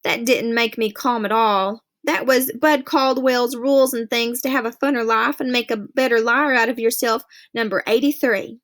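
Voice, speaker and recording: A woman reading a novel aloud in a steady first-person storytelling voice. Her voice sits fairly high. She keeps the narration even and clear. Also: moderate pace, few pauses. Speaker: reader.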